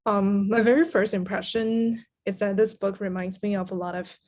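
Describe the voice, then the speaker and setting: A woman from Taiwan with a medium-pitched voice speaks as a podcast guest. She is a native Mandarin speaker, chatting casually in an interview. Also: fairly even intonation, normal speed, darkish tone. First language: Mandarin Chinese.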